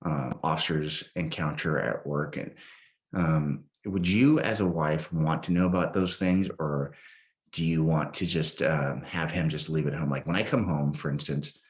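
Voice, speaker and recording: A man with an extremely low voice talks in a reflective interview style. He hosts the conversation and picks his phrases in a measured, slightly hesitant way. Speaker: podcast host, police officer.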